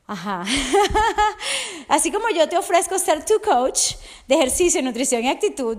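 A slightly hoarse woman speaking as a coach in an earnest, heartfelt motivational podcast monologue, often checking in with the listener. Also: on the high side. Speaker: resilience and fitness coach.

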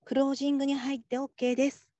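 A woman playing a patient, supportive call-centre supervisor in a radio drama, calm and politely instructive. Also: high voice, racing, no room sound, muffled recording, close to an everyday voice. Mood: encouraging. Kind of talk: Japanese radio drama acting.

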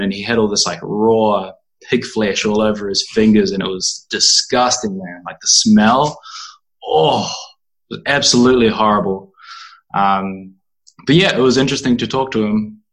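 A young man with a New Zealand accent speaks in a fairly low voice. He talks casually and informally, like an interview guest chatting with a peer. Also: a bit bright, poor audio. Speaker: interview guest, animal rights activist.

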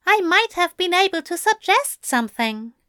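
A woman's voice: very high voice, big pitch swings, moderate pace, pushed and bright, dry recording, clean studio sound, voice pushed up, exaggerated voice. A woman voicing a fussy, earnest male angel in an audiobook story, with prim and plaintive phrasing. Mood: earnest, gentle.

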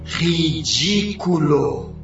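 A malicious, mocking spirit speaks in a drawn-out, creaky voice. It sounds distant and drenched in echo, with music clearly behind it, as in a radio play. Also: male voice, a little high, expressive, highs cut off, exaggerated voice. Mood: cruel taunting. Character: malicious male obsessing spirit, mocking and taunting. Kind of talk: radio drama acting.

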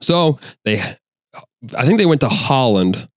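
A man's voice: mid-range voice, sing-song, a little slow, no room sound, clean studio sound. A young man co-hosting a casual podcast, blunt, profane and lecturing. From New England. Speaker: podcast co-host.

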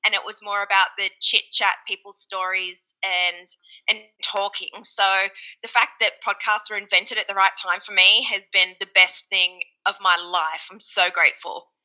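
Australian woman, middle-aged, Australian accent, sharp bright tone, easy conversational guest. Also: medium pitch, fairly even intonation, normal speed, poor audio. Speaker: podcast agency founder, guest. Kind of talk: conversational interview answers.

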